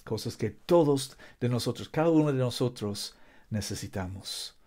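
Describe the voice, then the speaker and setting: A man's voice: mid-range voice, animated, moderate pace, warm tone. A man preaching a sermon in Spanish, which is not his first language, repeating himself emphatically with frequent restarts. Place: home in Costa Rica.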